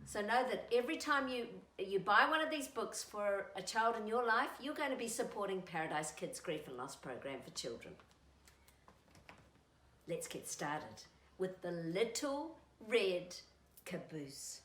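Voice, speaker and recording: A bright-voiced woman reads a storybook for little children. Her delivery swoops widely and singsongs along at an unhurried pace, in a roomy, echoey space. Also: medium pitch. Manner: animated, singsong, addressing young children. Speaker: storytime host and children's author.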